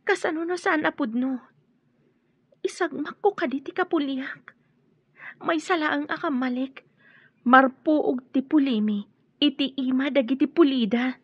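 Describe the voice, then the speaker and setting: A woman's voice: high-pitched, a little slow, long pauses, no room sound. A woman playing a wary, fearful young woman torn by a family feud in a radio drama. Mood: torn, anxious. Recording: muffled, lo-fi radio drama audio.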